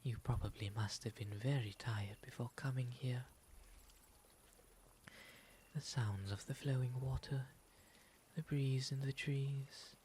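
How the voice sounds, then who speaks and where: A man voicing a gentle, refined owl demon in an ASMR roleplay, with soft, breathy, soothing, formally polite speech. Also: mid-range voice, lightly acted. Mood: tender, soothing. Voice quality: soft, breathy, often whispery. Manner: soothing, genteel, unhurried formal phrasing. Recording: noticeable ambient background noise.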